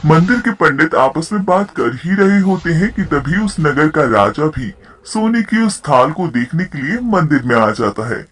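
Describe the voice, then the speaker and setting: A man's voice: fairly high pitch, lively intonation, normal speed, few pauses, fairly bright tone, slightly rough. A man narrating a storybook tale from a script, as the narrator of the story.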